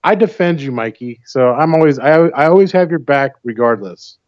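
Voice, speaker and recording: A man's voice: a little high, expressive, normal speed, a little mellow, dry recording. A man from the United States, a call-in guest chatting casually and pressing his opinions with rhetorical questions over lo-fi call audio. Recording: dull lo-fi call audio, dry.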